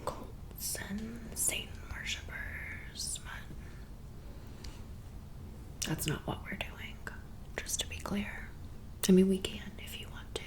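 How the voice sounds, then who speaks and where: A woman's voice: medium pitch, slow, pauses often, lightly acted, rough recording. A woman voicing a friendly teenage cheerleader in a whispered ASMR roleplay, chatty and welcoming, close to the mic. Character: friendly teen girl cheerleader in a club. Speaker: ASMR creator.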